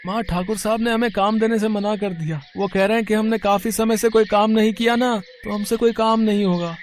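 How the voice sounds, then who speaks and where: Man as a high-pitched, whiny lazy young son. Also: steady tone, a little fast, a little hiss or hum, lightly acted. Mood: whining.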